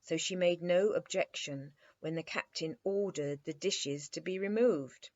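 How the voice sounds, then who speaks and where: A woman's voice: lowish voice, measured pace, a little mellow, dry recording, muffled recording. A woman storyteller narrating a children's bedtime story expressively.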